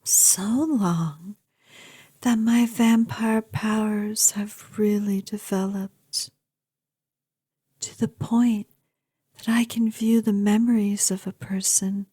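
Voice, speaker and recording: Woman playing a very slow, breathy vampire girlfriend. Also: medium pitch, steady tone, no echo. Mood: musing. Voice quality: breathy, soft. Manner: lingering, drawn-out, often whispery delivery. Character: doting, obsessive yandere vampire girlfriend, ancient and elegant. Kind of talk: ASMR audio roleplay, intimate and slow.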